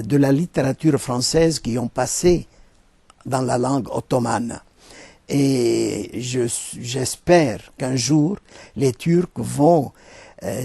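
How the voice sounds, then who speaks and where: Older man, Arabic, very husky and dark, formal interviewee who pauses to find words. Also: medium pitch, no echo. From Lebanon. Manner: deliberate, formal, with frequent hesitations. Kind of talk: measured interview answers.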